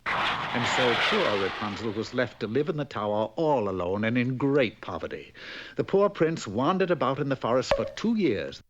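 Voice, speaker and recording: A man's voice: mid-range voice, big pitch swings, normal speed, fairly bright tone. A man narrating a comic fairy tale with droll, theatrical storytelling. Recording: muffled, lo-fi cartoon soundtrack.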